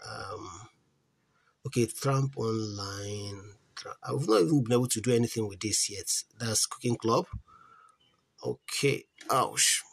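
A man's voice: fairly low pitch, measured pace, creaky, pauses often, husky. A man hosting a casual app tutorial, thinking aloud as he searches menus, trailing off and restarting, with earnest repeated advice to his viewers. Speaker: crypto app tutorial host. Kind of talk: casual screen-recorded walkthrough. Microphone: voice-over on a phone screen recording.

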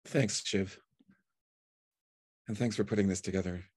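A man's voice: lowish voice, fairly even intonation, a little slow, a little mellow, breathy edge, no echo, clean studio sound. A man hosting an online event, informally introducing a reader over a video call. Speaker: host introducing poets. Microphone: video call microphone.

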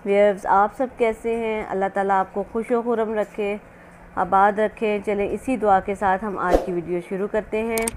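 Female home-cook host, casual step-by-step narration, mid pitch, faint noise on an amateur recording. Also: steady tone, moderate pace, a little mellow. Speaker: home cooking channel host. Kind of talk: casual recipe demonstration narration.

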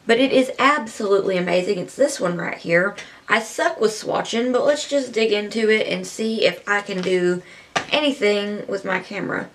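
A woman's voice: mid-range voice, normal speed, a bit bright. Chatty young woman with scratchy voice. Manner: chatty, rambling, enthusiastic. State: scratchy voice from allergies. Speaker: beauty vlogger. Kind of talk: casual talk to camera. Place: small indoor room.

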